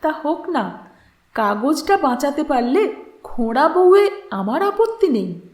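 Woman reading as calm earnest man, slow-paced. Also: sits high, echoey room. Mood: calm, frank. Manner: measured, matter-of-fact. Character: calm, earnest idealistic man, frank and measured.

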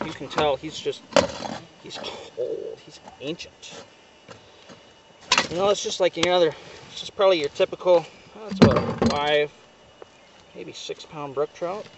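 A man's voice: a little high, moderate pace. Chatty young man on a lake. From northern Ontario. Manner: chatty, upbeat, fillers like right and you know. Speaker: fishing guide showing a catch. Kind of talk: casual outdoor chat to camera. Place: small boat on a lake outdoors. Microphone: camera held by a companion a few feet away. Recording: distant outdoor camera audio with noise.

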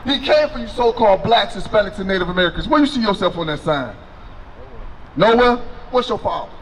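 Middle-aged male street preacher, high voice, handheld mic outdoors, light street noise. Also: lively intonation, a little fast, low-quality recording. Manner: loud, preaching with a handheld mic, questioning passersby. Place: city sidewalk outdoors by a fence.

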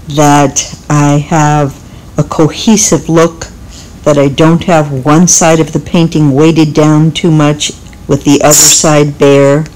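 Middle aged lady with a low voice talking thru her painting, deliberate and explanatory, like a lesson. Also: a little mellow, poor audio. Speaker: painting instructor. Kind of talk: instructional narration while painting.

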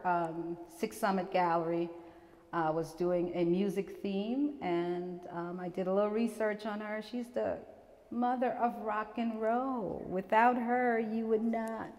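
A middle-aged woman from Guyana giving a relaxed, chatty talk about her portraits, laughing often and restarting her sentences. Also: fairly low pitch. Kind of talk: gallery artist talk. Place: small gallery room with seated audience, indoors. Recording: long reverb from the room.

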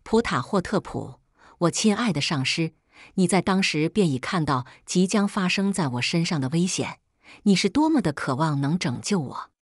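Female audiobook narrator, slightly low and breathy-rough, reflective scripted reading, very dry sound. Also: lively intonation, moderate pace, no room sound. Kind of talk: scripted first-person book narration.

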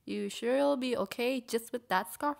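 A woman voicing gentle, caring, shyly romantic young characters in a comic dub, earnest and halting. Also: on the high side, vocal fry. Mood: caring, apologetic.

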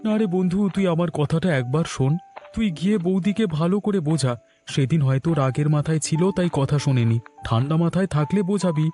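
Man acting a high, mellow-voiced supportive friend. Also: lively intonation, quick pace, faint music behind, lightly acted. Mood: earnest, persuasive. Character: supportive young male friend and colleague, advising earnestly. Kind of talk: Bengali family cartoon acting.

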